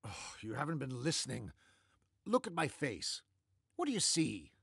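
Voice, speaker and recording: Smug older future man in a cartoon, theatrical n fed up, very up and down, bit of fry. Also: medium pitch, huge pitch swings, moderate pace, fairly bright tone, no echo, clean studio sound, heavily acted. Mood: impatient. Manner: theatrical, sardonic, easily exasperated. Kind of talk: animated comedy character acting.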